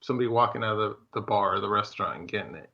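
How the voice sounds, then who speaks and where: A man's voice: on the low side, very fast, gravelly, lo-fi sound. A man chatting casually and informally as a host. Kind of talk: casual chat.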